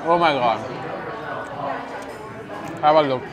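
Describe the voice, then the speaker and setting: Higher-voiced man reviewing food in a crowded restaurant. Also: slow pace. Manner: relaxed, describes each dish while tasting and chewing. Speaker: food vlogger host. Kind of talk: casual food review narration. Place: busy indoor restaurant dining room. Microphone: clip-on lapel mic. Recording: restaurant crowd chatter behind. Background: diners chatting.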